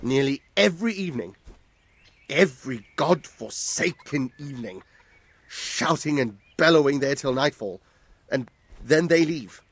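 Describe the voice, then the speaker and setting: Grumbly put-upon medieval tanner, game voice, bitter moaning, dry studio sound. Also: male voice, mid-range voice, animated, unhurried, dry recording. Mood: bitter grumbling. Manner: bitter, colourful complaining. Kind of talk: video game dialogue acting.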